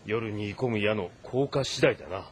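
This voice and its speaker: A man's voice: lowish voice, normal speed, a little hiss or hum, close to an everyday voice. An actor playing an intent male warlord in dramatic audio drama style. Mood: intent, observant.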